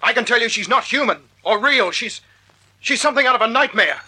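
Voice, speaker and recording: A man's voice: extremely high pitch, lively intonation, fairly quick, sharp tone, husky, full character voice, rough recording. An actor playing an agitated, bitter man on the run in 1940s radio drama style. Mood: nervous, defensive. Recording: old radio drama recording.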